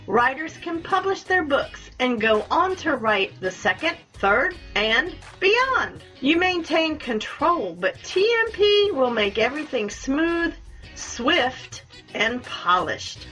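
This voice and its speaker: A woman's voice: high voice, pushed and bright. A woman hosting a radio show, chatting and reading scripted announcements. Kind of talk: friendly interview sign-off and scripted sponsor reads.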